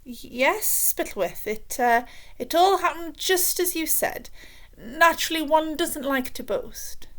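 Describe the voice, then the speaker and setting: A woman's voice: sits high, lively intonation, takes it slowly, fairly bright tone, voice pushed up, full character voice. A woman voicing a vain, timid, foolish king with a hesitant manner in a children's storybook. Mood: falsely modest. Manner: hesitant, plaintive, self-regarding.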